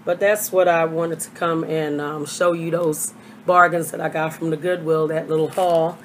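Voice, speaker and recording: Hoarse older woman, low flat voice. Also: normal speed, slight background noise. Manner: chatty, rambling with many fillers. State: hoarse from a cold. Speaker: vlogger. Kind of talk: casual haul video. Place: small bedroom. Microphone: webcam at desk.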